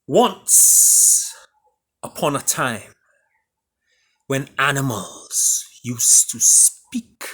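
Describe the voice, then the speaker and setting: A man with a Trinidadian accent, an author and storyteller speaking theatrically, with booming emphasis and hushed drops. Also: very animated, drawn-out words, pauses often, husky. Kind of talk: dramatic storybook reading and introduction.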